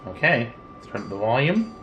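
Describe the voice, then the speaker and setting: A middle-aged man casually demonstrating electronics at a workbench, thinking aloud in an unhurried way as he works. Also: mid-range voice, big pitch swings, lo-fi sound. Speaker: electronics repair hobbyist, host. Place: small indoor home workshop at a bench. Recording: roomy, distant mic with background noise.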